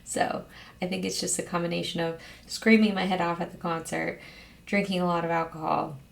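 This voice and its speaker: Hoarse, raspy woman chatting casually. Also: fairly low pitch, fairly even intonation, fairly quick. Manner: chatty, rambling, with frequent ums and soft laughs. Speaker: beauty vlogger host. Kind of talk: casual get-ready-with-me chat.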